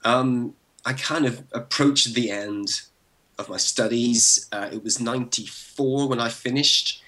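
A man in his forties from Belfast with a Northern Irish accent, thinking back as a podcast guest, with frequent restarts and soft laughs. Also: medium pitch, a little slow, rough recording. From Northern Ireland. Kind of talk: relaxed interview over a call.

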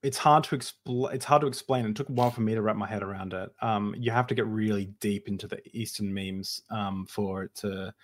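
A man's voice: lowish voice, a little fast, hardly any pauses. A man hosting a casual podcast conversation, talking hesitantly with frequent restarts and fillers. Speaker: podcast host.

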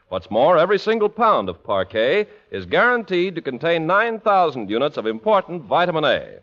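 A man's voice: on the high side, a bit bright. A man announcing on a 1940s radio show with a polished, emphatic salesman's delivery. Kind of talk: 1940s radio commercial and scripted narration. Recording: muffled vintage radio recording.

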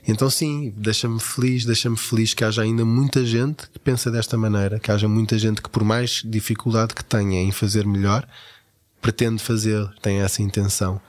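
Deep, even-toned Portuguese man. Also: moderate pace. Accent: European Portuguese. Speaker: actor interviewed about parenting. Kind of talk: reflective interview talk.

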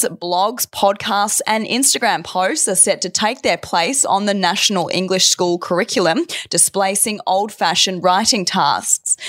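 A woman's voice: mid-range voice, a bit bright, dry recording, studio quality. An Australian woman presenting scripted news headlines as a newsreader.

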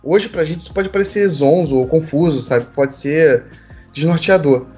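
Higher-voiced Brazilian man thinking aloud. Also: moderate pace, a little mellow, faint music behind. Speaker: panel participant. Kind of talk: casual discussion, thinking aloud.

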